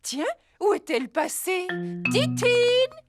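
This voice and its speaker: A woman's voice: extremely high pitch, sing-song, slow, over music, full character voice. A woman voicing a kindly, fussing hamster animal doctor in a children's cartoon, explaining things patiently. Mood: fretful. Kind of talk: French children's cartoon dubbing.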